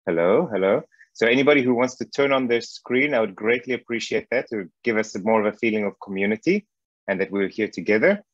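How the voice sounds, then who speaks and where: A man's voice: lowish voice, lively intonation, a little fast, no echo. A man in his thirties from South Africa giving an informal online talk, hesitant with wry humor. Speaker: guest lecturer. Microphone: wireless earbuds on a video call. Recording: muffled video call audio.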